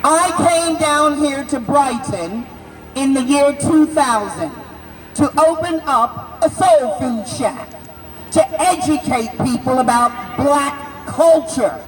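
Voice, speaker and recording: A woman in her sixties giving an impassioned rally speech outdoors, declaiming in drawn-out phrases and shouting key words for emphasis. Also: sits high, animated. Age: 60s. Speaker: rally speaker. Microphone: unamplified, distant camera. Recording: distant, echoey outdoor recording with crowd. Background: crowd noise.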